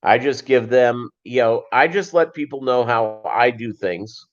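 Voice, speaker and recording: A man hosting a casual livestream, chatting and answering viewers in a rambling, halting, conversational way. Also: medium pitch. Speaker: livestream host. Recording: dull, lo-fi livestream audio.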